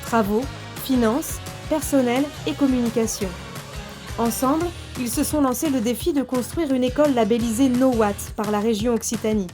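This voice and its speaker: A woman narrating a scripted informational documentary. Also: fairly high pitch.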